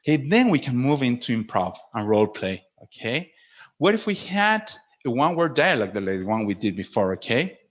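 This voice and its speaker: A man speaking as a teacher trainer in an informal instructional webinar, explaining in an animated way and often checking that listeners follow. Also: mid-range voice, moderate pace. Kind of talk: informal instructional presentation with acted demonstrations. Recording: dull lo-fi webinar audio.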